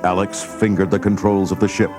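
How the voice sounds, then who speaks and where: Male storybook narrator over loud music. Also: low voice, flat delivery, a little fast. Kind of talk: scripted dramatic storybook narration. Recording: loud music and effects behind.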